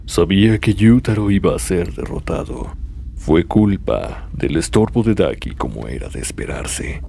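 A man's voice: low voice, sing-song, a little slow, gravelly, warm tone, slight background noise, exaggerated voice. A man playing a cold, imperious demon lord in a Latin American Spanish anime fan dub, speaking with disdain. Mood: cold contempt.

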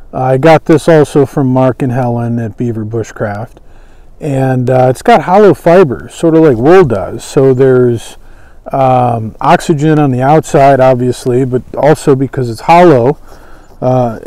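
A man in his thirties giving a casual, unhurried, folksy show-and-tell as an instructor. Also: medium pitch, dark tone, no room sound. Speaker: bushcraft instructor and presenter. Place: outdoors at a table on a lawn by woods.

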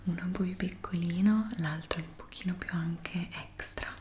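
A woman whispers gently and breathily, unhurried, in an ASMR shop assistant roleplay. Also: on the low side, steady tone, background noise. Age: 20s. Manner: gentle, unhurried, speaking as if to a customer. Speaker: ASMR creator playing a stationery shop assistant.

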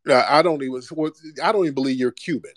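A man's voice: mid-range voice, fast talker, dry recording, clean studio sound. A man hosting a live audio room, talking bluntly and mocking callers over a phone mic.

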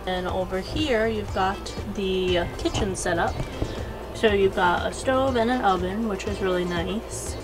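A woman's voice: medium pitch, measured pace. Casual female vlogger, music behind. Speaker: travel vlogger. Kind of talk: casual chat to camera. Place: inside a car.

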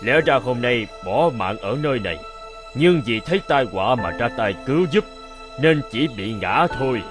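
A man's voice: mid-range voice, animated, normal speed, close to an everyday voice. A man voicing a solemn, wise bearded god in a children's cartoon, lecturing in a stately manner. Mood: instructive. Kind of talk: dubbed children's cartoon acting. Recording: prominent music under the voice.

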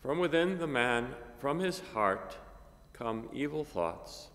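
An older man, a priest, reading a gospel and preaching a homily at a lectern microphone, measured and halting with many fillers. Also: medium pitch, animated, a bit bright. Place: cathedral chapel, at a lectern. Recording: long reverb of a chapel.

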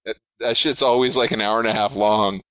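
A middle-aged man with a big, projecting voice, pitched a little low, with a bright, forceful edge. He talks in a rambling, animated way, often restarting his sentences. The sound is close and dry. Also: fairly quick, clear, resonant tone, no echo, low-quality recording. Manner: rambling, self-interrupting, animated. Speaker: game designer guest. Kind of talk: podcast interview.

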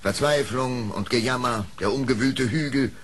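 A man's voice: medium pitch, normal speed. A man narrating an audio play as a dramatic storyteller, reading from a script. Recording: faint hiss of old record.

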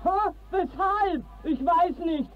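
A woman's voice: very high-pitched, slow. A woman acting an indignant, stubborn middle-aged village mother in a 1950s radio drama. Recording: noisy, muffled vintage radio recording.

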